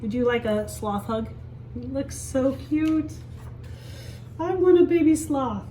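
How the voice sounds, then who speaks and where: A woman reading a picture book aloud as a story time teacher, expressively, pausing to explain and ask young children simple questions. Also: sits high, animated, dark tone. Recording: echoey room, distant mic, faint hiss.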